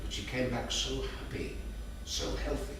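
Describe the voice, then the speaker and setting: A man's voice: medium pitch, fairly even intonation, normal speed, a bit bright, natural voice. Man playing a tender grandfather, very echoey room. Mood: tender, reminiscing. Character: gentle doting grandfather telling bedtime stories. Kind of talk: staged play reading, storytelling. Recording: echoey theatre from far off, faint noise.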